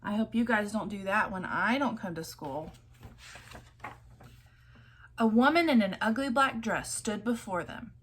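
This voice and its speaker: A woman's voice: medium pitch, animated, a little slow, pauses often, a bit bright, a little hiss or hum. A woman storyteller reading a children's picture book aloud expressively, adding chatty asides to the listeners.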